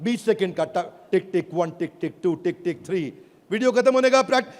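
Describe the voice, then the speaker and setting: A man giving an energetic stage keynote to a live audience, emphatic and crowd-rousing, calling out for responses. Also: high voice, fairly quick. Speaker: motivational business speaker launching an app. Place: large auditorium with a live audience. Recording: reverberant hall, crowd and noise.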